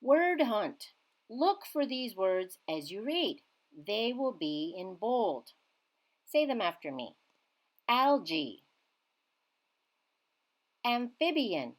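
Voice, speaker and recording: A woman reading a children's science book aloud in a teacherly way, emphasizing key words with simple, expressive phrasing. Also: a little high, slow, pauses often. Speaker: children's read-aloud reader.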